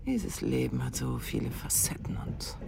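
An actress playing a seductive, teasing middle-aged newlywed in a radio drama, worldly and unrepentant, with an ironic, coquettish way of talking. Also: female voice, very deep voice, wildly swooping pitch, moderate pace, vocal fry, whispered, heavily acted. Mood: defiant, ironic.

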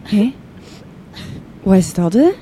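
A woman's voice: mid-range voice, animated, slow pace, dark tone, slight background noise. A woman playing a kind-hearted, feisty and protective young woman in a radio drama. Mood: concerned.

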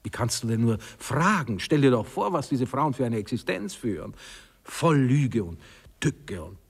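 An actor playing a prudish, moralizing young husband in a radio play, speaking in halting, self-important phrases. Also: male voice, mid-range voice, big pitch swings, vocal fry, husky, in a large hall, exaggerated voice. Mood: moralizing, agitated. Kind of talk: classic German radio play acting.

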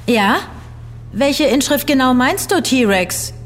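A woman's voice: high-pitched, lively intonation, slow pace, a little hiss or hum. An actress playing a polite, composed, well-informed woman in a German audio drama, articulate and matter-of-fact. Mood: curious. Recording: reverberant hall with music underneath.

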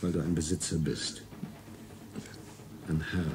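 An actor playing a grave, merciless rebel judge, intoning accusations solemnly in a radio play. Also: male voice, a bass voice, steady tone, unhurried, music in the background. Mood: cold, solemn. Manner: accusatory, incantatory repetition. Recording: faint noise and ambience behind.

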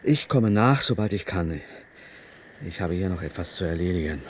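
A secretive, fearful husband in a radio drama. His low voice is grave and urgent, giving orders dramatically, with faint noise and ambience behind it. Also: animated, moderate pace. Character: anxious, secretive husband in hiding, urgent and commanding. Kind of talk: German radio play acting.

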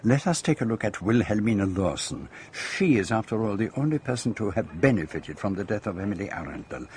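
A man's voice: fairly low pitch, lively intonation, fairly quick, darkish tone, a little hiss or hum, close to an everyday voice. A male actor playing a shrewd, courteous Belgian private detective with a French-speaking Belgian accent, polite and formal in a radio drama. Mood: wry, purposeful. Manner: polite, probing, formal phrasing. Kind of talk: British radio drama acting.

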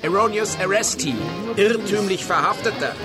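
An actor playing an excited, astonished film director among party cronies in a theatrical radio drama. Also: male voice, sits high, moderate pace, lightly acted. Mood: excited, amazed. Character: excited male film director, freshly freed, astonished crony. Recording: radio play party, loud music, crowd.

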